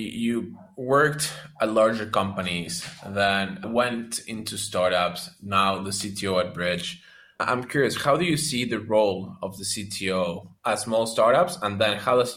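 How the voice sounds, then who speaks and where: Low-voiced man hosting a podcast. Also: fairly bright tone. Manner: scripted intro, then questions with many restarts. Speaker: podcast host. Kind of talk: podcast intro and interview.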